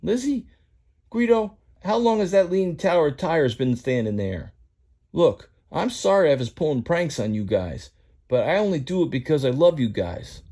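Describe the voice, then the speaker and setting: Mater, as a man puts him on: high folksy tow truck. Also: lively intonation, moderate pace, dark tone. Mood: rousing. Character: folksy good-hearted male tow truck.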